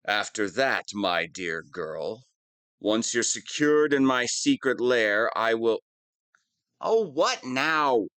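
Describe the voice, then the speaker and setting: A man's voice: medium pitch, very animated, slow pace, a bit bright, smooth, no room sound, studio quality. A man playing a whiny, pompous wannabe supervillain who is easily flustered, in a scripted comedic audio roleplay. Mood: pompous, grandiose, then petulant, whiny.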